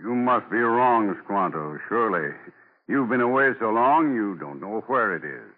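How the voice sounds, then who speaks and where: Low-voiced, kindly ship's captain. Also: male voice, lively intonation, moderate pace, phone-quality sound. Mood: doubtful concern. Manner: plain, coaxing, sympathetic. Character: concerned, practical ship's captain, kindly and cautious. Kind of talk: 1940s radio drama acting. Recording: thin 1940s radio broadcast.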